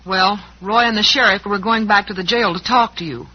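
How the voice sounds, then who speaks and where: Reassuring townsperson on old western radio, high husky voice, dull sound. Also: male voice, a little fast. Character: kindly, reassuring western townsperson helping a prisoner. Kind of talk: old-time western radio drama acting. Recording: muffled vintage radio broadcast.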